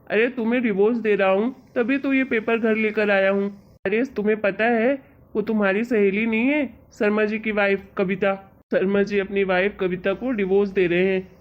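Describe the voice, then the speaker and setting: Exasperated cartoon husband acted by a woman, chiding and colloquial, mid-pitched, lo-fi audio. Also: lightly acted. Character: exasperated young husband, patient and teasingly lecturing. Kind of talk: amateur Hindi cartoon story dialogue. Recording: dull, lo-fi audio.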